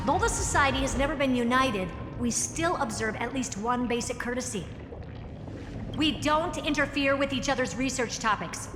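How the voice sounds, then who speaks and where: A woman's voice: on the high side, lightly acted. An actress voicing a confident, haughty young genius woman in a video game, with self-assured, commanding delivery. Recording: echoey hall reverb over music.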